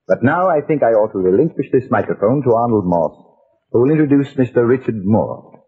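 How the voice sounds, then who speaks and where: Very raspy, theatrical male radio narrator. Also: mid-range voice, animated, brisk. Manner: theatrical, formal delivery. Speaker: series narrator. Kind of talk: dramatic scripted old-time radio narration. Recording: narrow-band vintage radio recording.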